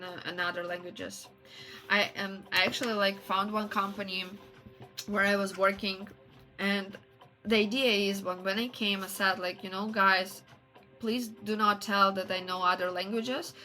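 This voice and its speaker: A woman livestreamer with a non-native English accent, chatting casually and haltingly as she searches for words. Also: mid-range voice, bright, forceful tone, poor audio. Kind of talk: casual chat stream.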